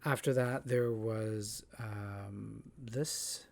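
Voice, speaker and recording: A man speaks in a low, unhurried voice, musing aloud through a personal monologue. He hesitates often, restarting phrases and filling gaps as he thinks. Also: steady tone, creaky. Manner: thoughtful, hesitant, many fillers and restarts. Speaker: theatre artist. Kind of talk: reflective spoken monologue. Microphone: voice-over, face not shown.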